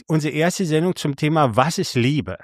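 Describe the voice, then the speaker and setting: A man's voice: a little high, moderate pace, dry recording, studio quality. A man co-hosting a casual podcast, who rambles and corrects himself mid-sentence. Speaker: podcast co-host. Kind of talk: casual chat.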